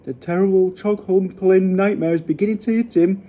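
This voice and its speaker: A man's voice: high voice, fairly even intonation, moderate pace, dark tone, voice pushed up, natural voice. A man voicing a kindly, cautious giant from a storybook, confiding things in quaint broken grammar. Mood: hushed excitement. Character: kindly male giant, odd muddled words, cautious explainer.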